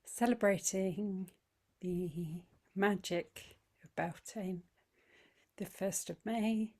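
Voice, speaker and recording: A woman guiding a yoga nidra meditation in a soothing manner, speaking in short phrases with long pauses. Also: mid-range voice, dark tone. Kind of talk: slow guided meditation. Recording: voice over chime music bed. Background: meditation music with chimes.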